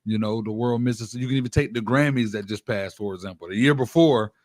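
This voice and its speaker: A man's voice: lowish voice, fairly quick, dry recording, studio quality. A man hosting a hip-hop radio show, chatting casually with slangy, affirming hype.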